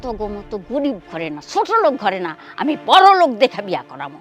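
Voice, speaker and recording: Shrill, spiteful village mother-in-law. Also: very animated, fast talker, soft background music. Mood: accusing, domineering. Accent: rural Bangladeshi. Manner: scornful, scolding, taunting. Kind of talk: melodramatic Bangla TV drama acting.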